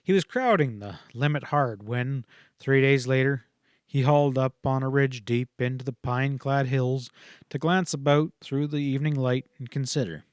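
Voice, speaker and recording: A man's voice: medium pitch, moderate pace, clean tone, no room sound, clean studio sound. A man reading a western novel aloud as scripted audiobook narration.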